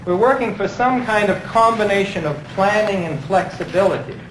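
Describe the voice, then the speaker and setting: A man organizing an anti-war movement, explaining his plans deliberately and earnestly. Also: high-pitched, reverberant, noisy background. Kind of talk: interview and negotiation.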